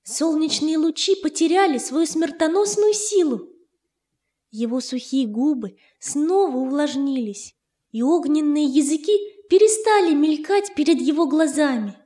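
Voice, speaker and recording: A woman reads a children's tale as narrator, measured and expressive. Her voice is high and soft-toned, with an echo around it and faint music underneath. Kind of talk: scripted children's story narration. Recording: echoey studio, faint music behind.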